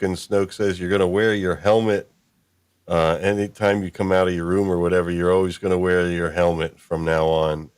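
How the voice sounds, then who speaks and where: A low-voiced man hosts a casual discussion in an informal, rambling way. He feels his way through his thoughts, and the sound is dry and close, with little room on it. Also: no room sound. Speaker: podcast host. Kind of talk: casual discussion of a fan story.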